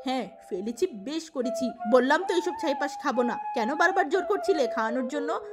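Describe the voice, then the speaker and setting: A woman's voice: high voice, lively intonation, brisk, over loud music. A woman voicing a vain, defiant young eldest daughter in a cartoon moral tale, haughty and demanding.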